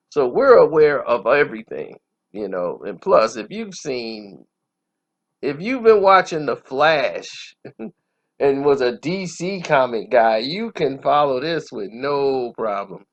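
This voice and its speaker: A man's voice: medium pitch, very animated, takes it slowly, fairly bright tone. A man hosting a casual movie review, talking informally and conversationally about his opinions. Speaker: movie reviewer, channel host. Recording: dull lo-fi sound.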